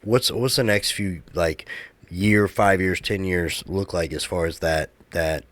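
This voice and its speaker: A man's voice: sits low, a little slow, fairly bright tone, no room sound. A man hosting a podcast, asking supportive follow-up questions. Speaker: podcast host. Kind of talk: casual interview.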